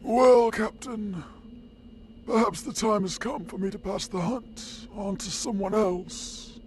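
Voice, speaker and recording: A man's voice: high voice, steady tone, slow, darkish tone, slight background noise, pitched above the performer's own voice. A man voicing a brooding vengeful creature.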